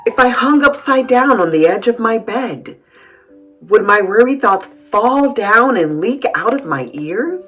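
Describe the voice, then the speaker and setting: Woman reading as an earnest young boy, slow and swooping. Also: a little high, lively intonation, fairly bright tone, faint music behind, voice pushed up, low-quality recording. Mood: wondering. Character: worried young boy pondering his thoughts, earnest. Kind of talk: storybook read-aloud.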